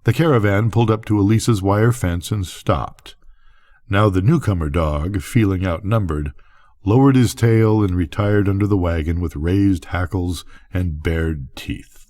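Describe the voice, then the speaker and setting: An older man reading a bedtime story aloud as narrator, with expressive, deliberate phrasing. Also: sits low.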